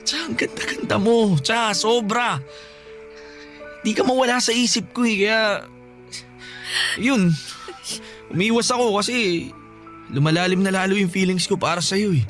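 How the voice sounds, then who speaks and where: A man's voice: sits high, unhurried, long pauses, fairly bright tone. A man playing a protective, stubborn young man in love in an emotional radio drama, earnest and pleading, with a Filipino accent. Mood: tender confession. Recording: music bed behind.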